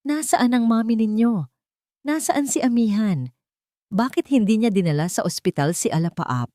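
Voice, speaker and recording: A woman's voice: a little high, animated, normal speed, dark tone, no room sound, studio quality, pitched above the performer's own voice, lightly acted. A woman playing a commanding, worried billionaire father in an audio story, urgent and demanding. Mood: angry disbelief.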